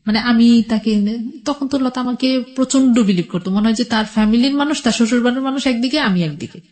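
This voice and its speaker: A woman's voice: fairly high pitch, quick pace, a little mellow. A middle-aged woman with a Bangladeshi accent recounts her own life as a radio interview guest, in a rambling, colloquial way. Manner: rambling, colloquial, quotes past conversations. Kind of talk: personal storytelling in a radio interview.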